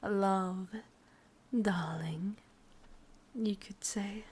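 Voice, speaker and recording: A woman with an English accent playing a sadistic, possessive, vain witch in a breathy, whispered ASMR roleplay, intimate and menacing. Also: mid-range voice, steady tone, very slow, a little mellow. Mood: obsessed, menacing.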